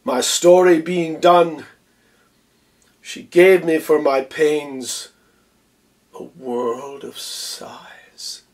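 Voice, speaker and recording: A man playing a proud, dignified general in a theatrical Shakespeare monologue, speaking in a measured, stately way with long pauses and hushed phrase endings. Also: a little high, far from the mic. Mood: tender, reflective.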